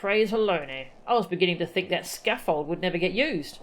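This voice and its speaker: A woman's voice: on the low side, expressive, fairly quick, close to an everyday voice. A woman voicing a coarse, grumbling labourer from a fantasy game as she reads the dialogue aloud.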